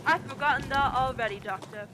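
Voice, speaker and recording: A woman's voice: high-pitched, brisk, natural voice. A woman playing a polite, eager hostess announcing and asking questions in a radio play. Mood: inviting. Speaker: announcer and interviewer. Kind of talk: old-time radio announcing. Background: music.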